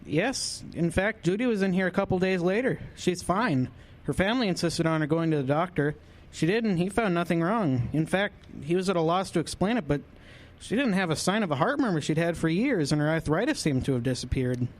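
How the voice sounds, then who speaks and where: A woman's voice: on the low side, expressive, brisk, lightly acted. A woman playing a friendly, gossipy small-town coffee shop server in a radio drama. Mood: chatty.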